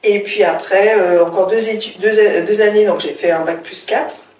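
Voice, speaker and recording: A woman's voice: mid-range voice, steady tone, moderate pace, a little mellow. Middle-aged woman from France, roomy distant sound. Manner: chatty storytelling, frequent hesitations and restarts. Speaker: interview guest, author. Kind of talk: casual interview talk. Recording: roomy, distant mic, faint background hiss.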